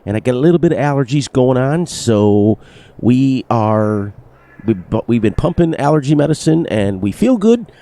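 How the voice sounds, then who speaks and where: A man commentating his farming game with upbeat, bouncy energy, repeating words in a sing-song way. His voice sounds slightly nasal from allergies. The tone is mellow and warm, at a middle pitch. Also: big pitch swings, normal speed. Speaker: gaming channel host. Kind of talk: narrated gameplay commentary.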